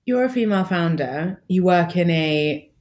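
A woman hosts a business podcast in a very low voice. She asks considered questions and responds to her guest with short affirmations. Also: expressive, normal speed, darkish tone. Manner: engaged, affirming interviewer. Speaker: podcast host. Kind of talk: interview questions.

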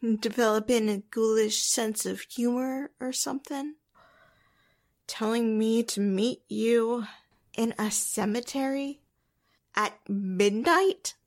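An actress playing a jittery, irritable woman in a 1940s-style radio drama, theatrical and halting, with a breathy voice. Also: a little high. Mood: annoyed, sarcastic.

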